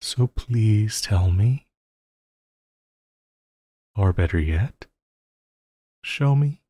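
Man acting a low, very slow possessive villain. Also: a little mellow, no room sound, full character voice. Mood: coaxing. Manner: slow, halting, pleading and coaxing. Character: possessive male supervillain, obsessive lover, coaxing and menacing. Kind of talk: intimate audio roleplay, addressing the listener.

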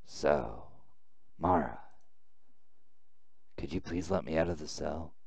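A man reading a visual novel aloud as a weary, confused young male prisoner, subdued and halting. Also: very deep voice, expressive, creaky, darkish tone, breathy edge, no echo, voice pushed down, full character voice. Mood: questioning, wary.

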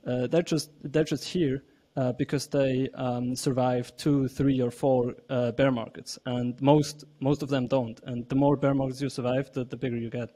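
A man's voice: medium pitch, level tone, normal speed, mellow. A young man from Switzerland on a video call panel, speaking earnestly into a podcast mic with frequent restarts. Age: young adult. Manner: earnest, hesitant with many restarts. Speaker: startup CEO panelist. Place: small room at home. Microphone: large podcast mic.

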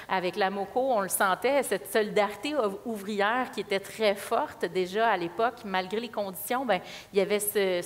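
Quebec woman moderator in a reverberant hall. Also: medium pitch. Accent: Quebec French. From Quebec, Canada. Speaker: panel moderator. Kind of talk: hosting an on-stage panel discussion. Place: large auditorium stage. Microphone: headset.

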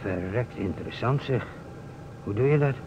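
Curious cop colleague male actor, vintage radio drama: lowish voice, keen and prompting, muffled tape. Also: expressive, moderate pace, close to an everyday voice. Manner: inquisitive, prompting, hesitant interjections. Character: eager, curious policeman colleague, hanging on every word. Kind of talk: old Dutch radio play acting. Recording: muffled old radio recording, faint noise.

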